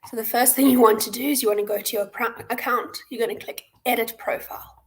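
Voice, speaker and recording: A South African woman with a fairly high voice leads a live online tutorial. She explains each step in an informal, instructional way, as a host guiding participants through a platform. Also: fairly even intonation, quick pace, slight background noise. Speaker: hackathon host and presenter. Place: indoor room, on a livestream webcam.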